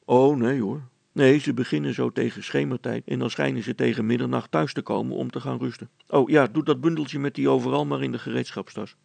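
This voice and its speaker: Man playing a gravelly, practical storybook father. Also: medium pitch, steady tone, brisk, few pauses, darkish tone, no room sound. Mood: calm, practical. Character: practical, gruff middle-aged father and tinkerer.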